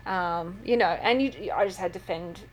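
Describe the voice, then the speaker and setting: An Australian woman talks as an interview guest, rambling through anecdotes with plenty of fillers. Her voice sits a touch low, with a faint hum of noise behind it. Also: moderate pace, low-quality recording. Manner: chatty storyteller, many fillers. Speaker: author and guest. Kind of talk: casual interview chat.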